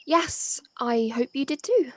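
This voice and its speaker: A woman's voice: high voice, animated, takes it slowly. A woman acting in an audio play as a young local daughter, loyal, polite and matter-of-fact, friendly but a little guarded. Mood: guarded, reassuring. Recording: faint noise, home personal-device recording.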